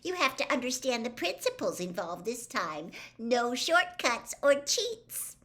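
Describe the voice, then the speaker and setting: A bossy, bright teenage schoolgirl from a storybook voiced by a woman. She speaks high, with lots of swing in pitch, prim and emphatic as a born know-it-all. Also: big pitch swings, unhurried. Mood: smug, bright. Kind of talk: storybook character voice.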